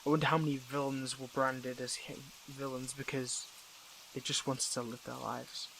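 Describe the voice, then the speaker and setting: A man acting as a tender, conflicted hero in love with a villain, in an intimate audio drama. The voice is creaky and mid-pitched with an even melody, halting and full of earnest feeling. Faint rain sits behind it. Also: slight background noise, low-quality recording. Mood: tender, troubled. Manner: halting, earnest, emotional delivery. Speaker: voice actor in an audio roleplay.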